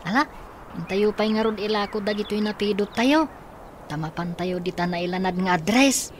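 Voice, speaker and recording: A woman's voice: medium pitch, normal speed, lightly acted. A woman playing a caring big-brother boy in an Ilocano radio drama, with an Ilocano accent. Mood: earnest, puzzled. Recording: faint background noise.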